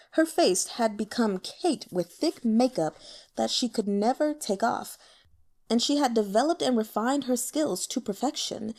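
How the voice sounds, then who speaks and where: A woman's voice: on the high side, mellow. A woman reading fiction aloud as an audiobook narrator, in a dramatic storytelling style.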